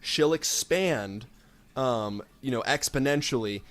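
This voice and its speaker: A man playing an improvised tabletop role-playing game, thinking aloud in a halting, casual way. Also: medium pitch, fairly bright tone. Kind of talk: improvised comic role-play chat.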